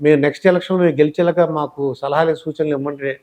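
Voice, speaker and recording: Male Telugu interviewer, courteous and conversational. Mellow tone, very quick pace, respectful questioning. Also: on the high side, steady tone. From Telugu-speaking southern India.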